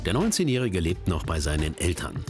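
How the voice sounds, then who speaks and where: A man's voice: deep voice, sing-song, fairly quick. A man narrating a documentary in a scripted voice-over. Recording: narration over background music. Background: background music.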